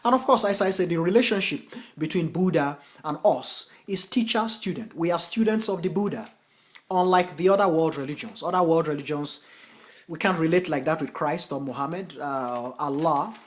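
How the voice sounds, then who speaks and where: A man with a fairly high-pitched voice reads verses of scripture, pushing hard on key phrases. Between readings he turns loose and informal as he talks teaching to his viewers, calling them folks. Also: normal speed. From United States. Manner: declaims verses with forceful emphasis, then talks casually, addressing viewers as folks. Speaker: Buddhist translator and teacher.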